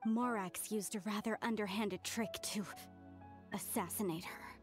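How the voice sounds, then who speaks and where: A woman's voice: medium pitch, fairly even intonation, unhurried, music in the background, lightly acted. A woman playing an earnest, polite young history scholar in an anime game, speaking sincerely. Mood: earnest, troubled.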